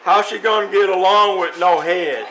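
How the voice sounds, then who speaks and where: A man's voice: sits high, over a noisy crowd. A man playing a dim-witted, good-natured sixteen-year-old athlete with guileless delivery in old-time radio comedy. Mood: dopey, puzzled. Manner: slow, dopey, guileless. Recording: clearly noisy with clicks.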